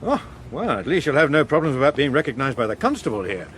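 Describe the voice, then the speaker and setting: An actor playing a suave veteran politician in a radio drama, urbane, measured and drily understated. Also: male voice, medium pitch, big pitch swings, a bit bright, slight background noise. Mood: wry, polite. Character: suave, unflappable veteran politician and chief whip.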